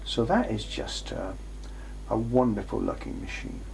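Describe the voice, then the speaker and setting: A man presenting an unscripted show-and-tell in a workshop, speaking haltingly as he thinks aloud. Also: fairly low pitch, animated, darkish tone. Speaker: presenter showing vintage electronics for restoration. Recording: clearly noisy, with background hum.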